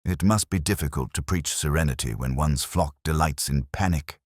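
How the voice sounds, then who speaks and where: A man voicing a shrewd, gentle police inspector in a mystery story, deliberate and dryly amused. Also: rumbling low, dry recording, studio quality. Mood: polite probing.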